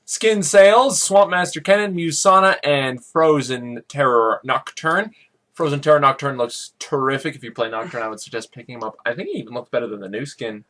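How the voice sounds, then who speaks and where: A man's voice: mid-range voice, a little fast, sharp tone. A man co-hosting a gaming podcast in casual chat, blunt and joking with dismissive asides. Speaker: podcast co-host.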